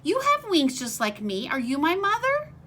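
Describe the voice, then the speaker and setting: A woman's voice: very high voice, wildly swooping pitch, moderate pace, a bit bright, slight background noise, pitched above the performer's own voice, heavily acted. A woman reading a storybook, voicing a lonely little bird child who pleads earnestly for a mother. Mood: hopeful, pleading.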